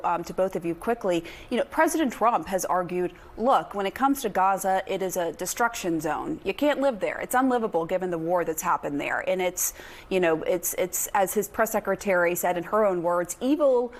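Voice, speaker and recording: A woman anchoring a television news segment, with a lowish voice for a woman. She moves quickly through probing questions and pushes back on what her guests claim. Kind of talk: live TV news interview.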